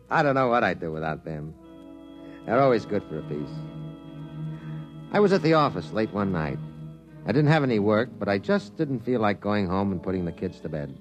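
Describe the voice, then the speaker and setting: A man's voice: fairly low pitch, sing-song, moderate pace, pauses often, dull audio, over music. An actor playing a wry, worldly male science reporter, with dry delivery in 1950s radio drama style. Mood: wry narrating.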